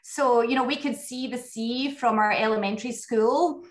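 A woman's voice: fairly high pitch, normal speed, roomy sound. A woman from Scotland with a Scottish accent, a guest reflecting thoughtfully in a casual interview, with frequent fillers and restarts.

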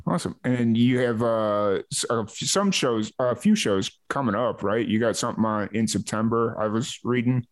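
A man hosting a casual music interview, halting as he talks and often repeating words. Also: medium pitch. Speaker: host.

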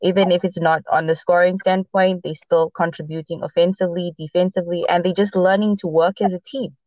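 A woman co-hosting a sports podcast, speaking with a mixed Portuguese, South African and American accent. Portuguese is her first language, and she talks in a halting way with many fillers. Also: lowish voice, fairly even intonation, dry recording, dull audio. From mixed upbringing: Boston, Los Angeles and South Africa. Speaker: podcast co-host. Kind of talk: casual sports podcast chat.

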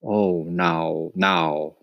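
Man acting a mild, unhurried, peaceful papa. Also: sits low, lively intonation, no room sound, highs cut off. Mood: soothing. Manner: mild, placating, quietly firm. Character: peaceful, soothing father, resigned but nice. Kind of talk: acted storybook reading.

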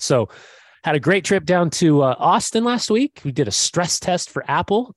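Man, sick, hoarse voice, animated podcast co-host, dry close sound. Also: a little high, no room sound. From United States. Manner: animated storytelling with fillers. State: sick, losing his voice. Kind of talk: casual podcast chat.

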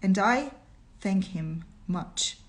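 Storybook role: a woman plays a quiet, dazed young female survivor, subdued delivery. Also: medium pitch, animated, drawn-out words. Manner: subdued, vague.

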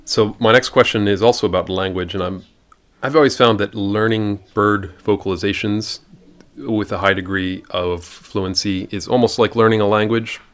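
Male podcast interviewer, deep voice, casual conversational delivery. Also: fairly even intonation, moderate pace, a bit bright.

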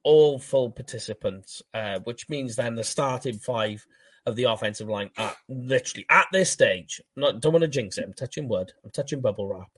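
A Welsh man with a Welsh accent co-hosting a fan podcast, talking football in a casual, animated way and laughing at himself. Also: mid-range voice, normal speed, breathy edge, no room sound. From Wales. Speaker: podcast co-host. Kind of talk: casual sports chat.

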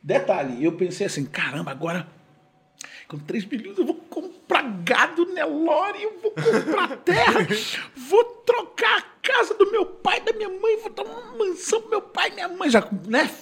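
A man who is a gospel singer telling a personal testimony in an animated way, acting out the dialogue and moving between loud and hushed. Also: very high voice, moderate pace, vocal fry.

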